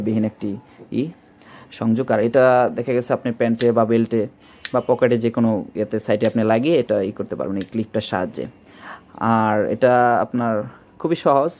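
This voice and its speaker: A man's voice: mid-range voice, a little mellow, muffled recording. A man with a Bangladeshi accent giving an informal product demonstration as a shop presenter, repeating himself and searching for words. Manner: repetitive, searching for words with filler placeholders. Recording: faint background noise.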